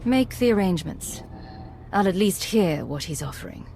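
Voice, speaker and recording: A woman's voice: fairly low pitch, expressive, normal speed, creaky, warm tone, slight background noise. A woman playing a guarded, matter-of-fact alliance commander in a video game. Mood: cool, businesslike.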